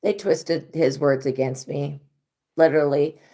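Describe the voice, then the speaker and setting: A woman with an unusually deep, hoarse voice, rough from having lost it. She talks bluntly and swears freely in a loose, unscripted live-stream monologue, speaking close to a home mic. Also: unhurried, warm tone. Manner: blunt, profane venting. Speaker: tarot reader hosting a live stream.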